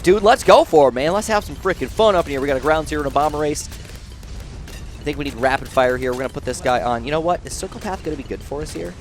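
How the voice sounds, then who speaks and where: A young man with a nasal voice giving rambling, excitable commentary while playing a video game. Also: fairly high pitch. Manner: rambling, excitable, thinks aloud. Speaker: gaming channel host. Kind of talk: casual gameplay commentary.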